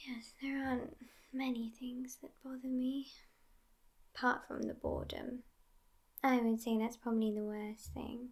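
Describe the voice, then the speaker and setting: A woman playing a sassy, world-weary, centuries-old vampire girlfriend in a breathy, whispery ASMR roleplay, languid and disdainful, with thoughtful hums. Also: on the high side, full character voice.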